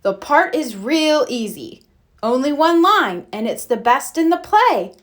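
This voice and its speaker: A woman's voice: sits high, sing-song, a little slow, voice pushed up. Woman as an eager, pleading storybook schoolboy. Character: Arthur, eager, pleading schoolboy trying to persuade friends. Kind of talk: children's storybook character voice.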